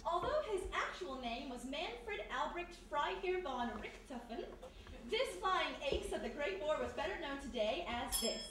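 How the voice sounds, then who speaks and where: High, animated woman in a very echoey room. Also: moderate pace. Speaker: quiz assistant reading questions. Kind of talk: scripted quiz question reading. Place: live performance before studio audience in historic house. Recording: very echoey, noisy, distant live room.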